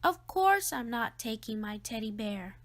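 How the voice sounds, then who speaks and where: A woman's voice: fairly high pitch, big pitch swings, unhurried. A woman voicing a young boy in a children's storybook, earnest and insistent, anxious underneath his bravado. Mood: defiant. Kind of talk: children's storybook read-aloud.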